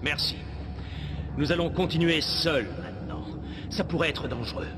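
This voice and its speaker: Lively, gallant swashbuckling hero acted by a man. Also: a little high, moderate pace, background noise, natural voice. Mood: calm, focused. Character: gallant, ironic, self-assured swashbuckling hero. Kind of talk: French animated series dub acting. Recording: loud music under the voice.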